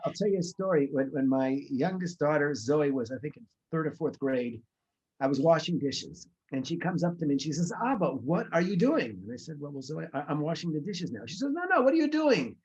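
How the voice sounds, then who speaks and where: Middle-aged guy from israel, earnest and thoughtful, lots of ums, voice a bit high. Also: very animated, fairly quick, darkish tone, highs cut off. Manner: earnest, thoughtful, many fillers and restarts. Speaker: guest speaker, environmental researcher. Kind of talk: conversational interview answers.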